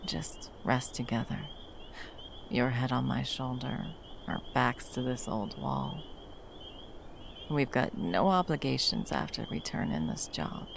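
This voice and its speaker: Casting: actress as battle-worn female monster hunter, tender and teasing, very expressive pitch, fry-edged, intimate ASMR roleplay, faint background noise. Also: exaggerated voice. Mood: caring, relaxed. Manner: soft teasing, slow, breathy drops into whispers. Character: battle-worn woman monster hunter, gruff but tenderly protective lover.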